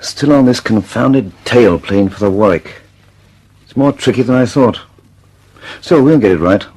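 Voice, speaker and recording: A man's voice: fairly low pitch, a little slow, a little mellow, husky, low-quality recording. An actor playing an earnest, preoccupied aircraft designer in a mid-century radio drama, musing hesitantly and then pressing his point methodically. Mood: resigned, dogged. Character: earnest, preoccupied male British aircraft designer, thoughtful and dogged.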